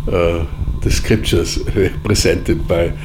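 A man whose first language is Sorbian, answering interview questions in a measured, deliberate way with frequent pauses. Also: low-pitched, steady tone, noisy background. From Germany. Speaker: interviewee, editor of philosophical writings.

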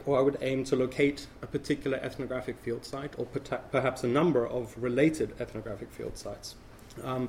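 Male academic discussant, slightly crackly from a cold, measured and explanatory, mid-pitched. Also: a little mellow. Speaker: panel discussant, anthropologist. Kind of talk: academic panel talk.

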